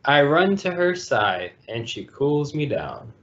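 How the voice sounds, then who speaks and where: A man's voice: mid-range voice, sing-song, slow pace, a bit bright. A man hosting a storytime, reading a children's picture book aloud over a video call. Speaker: storytime reader and host. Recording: dull lo-fi video call audio.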